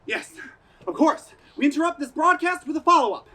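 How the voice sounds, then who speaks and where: High-pitched flustered radio announcer man acting. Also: animated, a little fast, creaky, sharp tone, exaggerated voice. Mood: flustered, formal. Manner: formal, stumbling over lines. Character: flustered 1930s radio announcer presenting broadcast interruptions. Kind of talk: old-time radio announcer stage acting.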